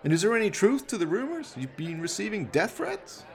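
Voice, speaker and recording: A man voicing a gushing, excitable male television news reporter in a radio play, full of exclaiming showmanship. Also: high-pitched, fairly quick, pitched above the performer's own voice, exaggerated voice. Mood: eager, probing.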